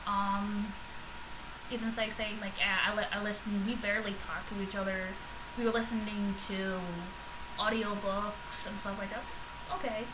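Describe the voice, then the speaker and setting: A woman talking casually in a reaction vlog, rambling with many fillers and restarts, in a loud voice. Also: medium pitch, flat delivery, moderate pace, noisy background. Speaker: vlogger reacting to podcast episodes.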